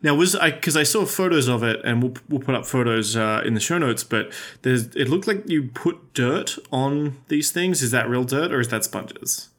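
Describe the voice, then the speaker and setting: Man, mid-pitched, jokey podcast co-host who ribs his friend. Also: lively intonation, moderate pace, hardly any pauses, a bit bright. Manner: teasing, jokey, leads the conversation. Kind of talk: casual chat.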